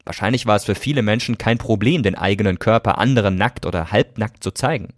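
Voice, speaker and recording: A man's voice: fairly low pitch, fast talker, dry recording, clean studio sound. A man hosting a podcast, reading scripted narration in a conversational way that addresses listeners directly. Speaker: podcast host.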